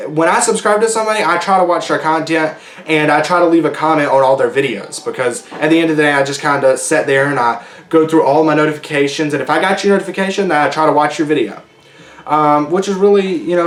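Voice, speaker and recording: A man hosting his own casual solo podcast, rambling and chatty with lots of ums and restarts, his voice going hoarse. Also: on the high side, roomy sound. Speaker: podcast host.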